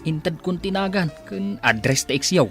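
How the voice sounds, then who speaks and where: A man's voice: a little high, animated, moderate pace, soft background music, close to an everyday voice. A man acting in a radio drama as a polite, eager assistant reporting to his boss. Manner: polite, deferential.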